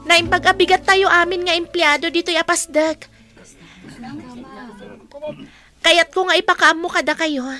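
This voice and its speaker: A woman's voice: extremely high pitch, steady tone, brisk, sharp tone, soft background music. A Filipino woman acting in a radio drama as a kind young heiress and new boss, earnest and emotive. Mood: firm, gracious.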